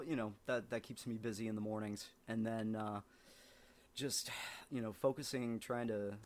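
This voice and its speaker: A man from Ohio, a writer, speaking as a podcast guest in casual chat, rambling with many ums and restarts. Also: lowish voice. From southern Ohio, US.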